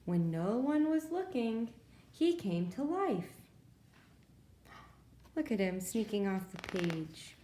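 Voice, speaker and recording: A woman with a soft, mellow tone reads a picture book to preschoolers in a roomy, echoing space. Her pace is very unhurried. She narrates expressively and turns to the young listeners with small asides. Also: medium pitch, sing-song, a little hiss or hum. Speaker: children's librarian reading stories.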